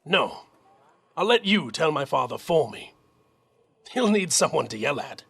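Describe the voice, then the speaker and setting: A man playing a weary, dutiful young army captain, husky and creaky, hesitant and sincere, in an audio drama. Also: fairly high pitch, sing-song, unhurried, a bit bright, full character voice. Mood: grave, sincere.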